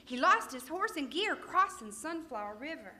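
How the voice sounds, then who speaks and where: A woman's voice: high-pitched, very animated, moderate pace, bright, forceful tone, heavily acted. A woman playing a bossy, scolding frontier woman in a folksy Western radio play. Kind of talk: old-time Western radio re-enactment.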